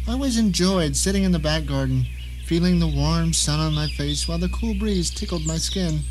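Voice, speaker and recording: A man acts out a bitter, paranoid blind writer in an audio drama, his voice pitched high. He tells his own story with wry grumbling and theatrical pauses, over clearly audible rain and ambient noise. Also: natural voice. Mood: wistful. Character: bitter, paranoid blind male novelist telling his nightmare. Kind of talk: first-person dramatic audio drama narration.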